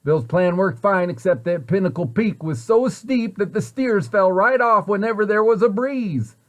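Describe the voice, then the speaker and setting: Guy reading a kids picture book out loud, voice a little on the high side, expressive storyteller delivery. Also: normal speed. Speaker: site director reading a picture book to children. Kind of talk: storybook read-aloud.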